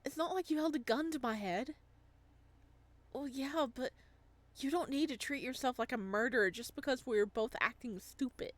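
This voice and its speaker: Woman acting young ghost man, visual novel, earnest, direct, searching, higher voice. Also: normal speed, no room sound. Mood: reassuring. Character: young male ghost, searching, forgiving, without memories. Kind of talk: visual novel dialogue read aloud.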